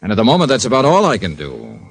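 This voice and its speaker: An actor playing a cool, wry freelance insurance investigator in an old-time radio detective drama. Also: male voice, on the low side, huge pitch swings, fast, breathy edge, exaggerated voice. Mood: wry, reassuring. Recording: vintage radio broadcast recording.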